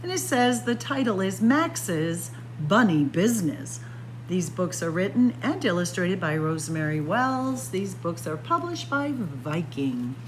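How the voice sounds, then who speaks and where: A woman reading a picture book aloud to children as a storyteller, expressive and deliberate. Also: fairly low pitch, slight background noise, poor audio. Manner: expressive, deliberate, addressing young listeners as friends. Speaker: librarian storyteller. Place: indoor library room with bookshelves.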